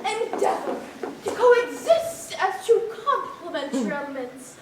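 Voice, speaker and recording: A teenage girl plays a long-suffering, genteel period peacemaker. She declaims florid lines in an extremely high voice. She is far from the mic, with clear background noise. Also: sing-song, a little slow, full character voice. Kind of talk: mannered period stage acting. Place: large theater stage, indoors. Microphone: far camera. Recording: very echoey, noisy auditorium from far off.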